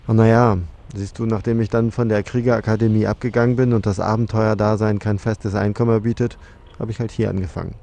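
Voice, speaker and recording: Chatty blue-collar giant played by a man: quick, gravelly, casual, for a fantasy parody. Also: lowish voice, level tone, mellow, lightly acted. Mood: chummy. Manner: casual, matter-of-fact. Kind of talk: German fantasy parody audio drama.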